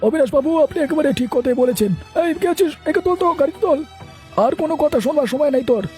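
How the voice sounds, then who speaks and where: A man's voice: very high-pitched, animated, fast, a little mellow, soft background music, pitched above the performer's own voice. A man voicing a stern, bullying village policeman in a cartoon, barking angry orders. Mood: angry, commanding. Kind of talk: Bengali cartoon voice acting.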